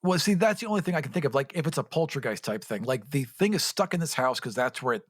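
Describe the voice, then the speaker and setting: A man's voice: mid-range voice, animated, fast talker, dry recording. A man chatting casually and playfully as a co-host on a tabletop gaming podcast. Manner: playful, informal. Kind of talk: casual joking chat about tabletop games.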